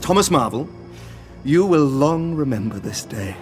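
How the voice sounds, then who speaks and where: An educated gentleman villain in an audio drama, with a mid-pitched voice. He speaks formally and precisely, his politeness edged with menace, cunning and hungry for power. Faint music sits behind him. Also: very animated, measured pace. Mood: grandiose.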